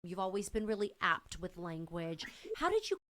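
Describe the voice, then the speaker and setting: A woman's voice: lowish voice, big pitch swings, normal speed, a bit bright. A woman hosting a podcast interview, reacting with enthusiasm and asking casual questions. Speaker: podcast host.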